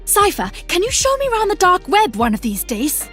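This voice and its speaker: Cheeky young female game inventor, high and animated, eager, faint music behind. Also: brisk, full character voice.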